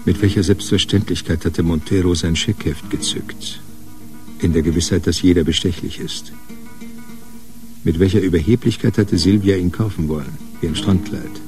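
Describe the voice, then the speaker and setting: An actor reading brooding literary narration as the narrator of a radio crime drama. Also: male voice, deep voice, a little mellow, lightly acted. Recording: guitar music behind voice.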